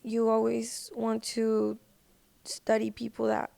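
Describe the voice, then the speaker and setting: A woman's voice: fairly high pitch, completely flat delivery, takes it slowly, creaky, dark tone. A woman speaking casually and earnestly as a guest in a podcast conversation. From United States. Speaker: podcast guest, singer and social media personality.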